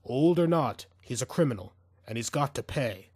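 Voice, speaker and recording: A cold, vengeful assassin played by a male narrator in an audiobook thriller. He speaks with taunting menace and simmering rage, his pitch swinging widely in a dry, close recording. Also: lively intonation, normal speed, darkish tone, slightly rough, no echo. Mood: grim.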